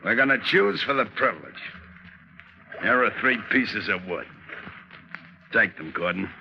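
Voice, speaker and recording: An actor playing a gruff, domineering mutinous sailor in a 1940s radio drama, curt and commanding. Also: male voice, on the low side, lively intonation, unhurried, a little hiss or hum. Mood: grim, commanding. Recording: dull lo-fi 1940s radio recording.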